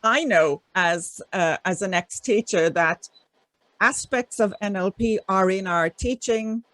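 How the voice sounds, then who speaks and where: A woman hosting an interview, asking questions in an animated, enthusiastic way. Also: mid-range voice, measured pace, dry recording, poor audio. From Ireland. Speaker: host.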